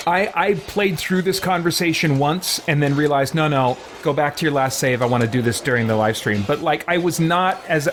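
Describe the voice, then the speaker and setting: A man's voice: on the high side, noisy background. A man giving casual live-stream game commentary, rambling as he thinks aloud and acts out lines. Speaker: streamer playing a roleplay game. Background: music.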